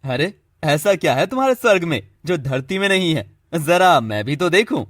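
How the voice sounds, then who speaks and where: A man voicing a cocky, skeptical crow in an animated moral story, brash and husky. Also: on the high side, moderate pace, dry recording, clean studio sound.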